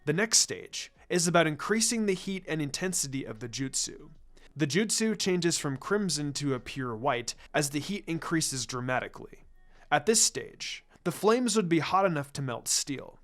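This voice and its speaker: A man voicing a serious adult ninja mentor in a fan fiction reading, calm, stern and deliberate as he explains. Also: a little high.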